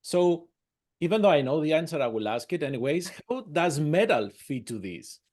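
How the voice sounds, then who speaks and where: A man hosting a casual interview over a video call, with a voice a bit higher than most men's. He asks friendly, halting questions and fills the gaps with "uh". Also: sing-song, a little mellow. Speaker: podcast interviewer.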